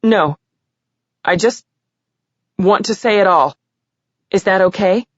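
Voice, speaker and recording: A distraught teenage girl tries to get her statement out through tears, her voice shaking , as a woman narrator puts her on. She sits higher than the reader's usual voice and pushes louder when upset. The recording is dry and a little dull. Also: slow pace, dry recording. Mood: shaky. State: crying. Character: tearful teenage girl giving courtroom statement.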